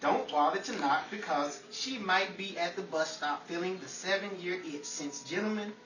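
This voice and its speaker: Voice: medium pitch, animated, moderate pace, fairly bright tone. A host with a folksy, patient announcer's manner, presenting in old-time radio game-show style. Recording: roomy, distant, faint noise.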